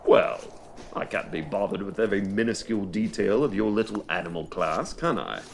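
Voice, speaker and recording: A man's voice: fairly low pitch, animated, brisk, creaky, a little hiss or hum. A man playing a cocky, teasing schoolboy wizard with flippant, mock-posh banter in an audio drama.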